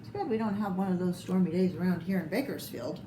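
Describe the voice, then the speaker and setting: A woman's voice: lowish voice, fast talker. An American woman reading picture books aloud to children, expressively and unhurriedly, with little asides to her listeners. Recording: echoey room with clear background noise.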